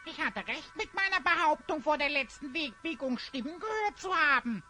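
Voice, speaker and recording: A woman's voice: lively intonation, normal speed, sharp tone, faint music behind. A woman voicing a boastful, touchy little elf goblin in a children's fantasy audio drama, with a piercing voice and a haughty, bragging manner. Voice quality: very high, piercing.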